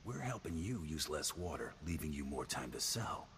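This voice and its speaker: An actor playing a cool, tough hired gun in a video game, with a dry, sardonic way of talking. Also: male voice, extremely low pitch, very animated, normal speed, a bit bright, a little hiss or hum, heavily acted. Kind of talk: video game dialogue acting.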